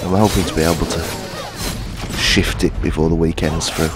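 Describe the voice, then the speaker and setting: Gruff, hoarse older man with a cold. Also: low-pitched, fairly even intonation, moderate pace, noisy background. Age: 50s. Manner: chatty, self-mocking. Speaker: gaming channel host. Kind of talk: casual let's-play commentary. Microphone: headphones. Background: game music.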